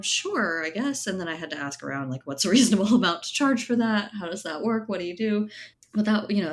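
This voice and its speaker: A woman speaking as an interview guest in an informal, thoughtful way, often checking in with little tag questions. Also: sits low. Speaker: interview guest, writer and editor. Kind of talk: casual conversational interview talk.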